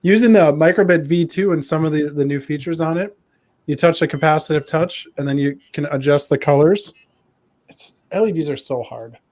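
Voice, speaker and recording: A man's voice: a little high, normal speed, a little mellow. A man casually demonstrating a project as a guest, friendly and plain-spoken. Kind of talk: casual show-and-tell demo.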